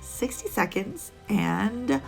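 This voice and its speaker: A woman's voice: medium pitch, animated, slow, gravelly, faint music behind. A woman narrating a casual nail art tutorial, chatty and rambling with little laughs, a bit sniffly.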